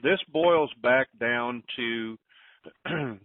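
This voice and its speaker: A man's voice: medium pitch, extremely slow, no echo. Guy chiming in on a call, folksy, stop-start, far from the phone, tinny. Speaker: conference call participant. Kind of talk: casual opinion-giving. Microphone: telephone. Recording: distant, muffled tinny phone line.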